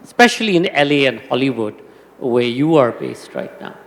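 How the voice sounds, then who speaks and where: A man interviewing a guest on stage, asking measured, thoughtful questions. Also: medium pitch, sing-song, creaky, fairly bright tone, long reverb tail, a little hiss or hum. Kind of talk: stage conversation.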